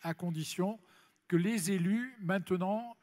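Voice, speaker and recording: A man's voice: sits high, measured pace, darkish tone. A man speaking off the cuff as an elected official on a congress panel, emphatic and repeating phrases for stress. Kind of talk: impromptu panel discussion at a congress. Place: large congress hall plenary session. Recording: reverberant large hall, amplified speech.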